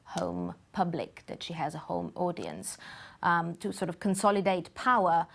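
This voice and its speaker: A woman speaks in a fairly low voice in a studio interview. She answers in a measured way and pauses often with fillers between her points. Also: lively intonation, creaky. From Bulgaria. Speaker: campaign group guest.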